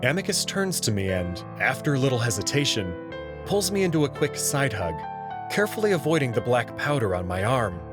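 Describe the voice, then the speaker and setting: A man reading a visual novel aloud in the first person, in an expressive, nervous way. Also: mid-range voice, fairly quick. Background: background music.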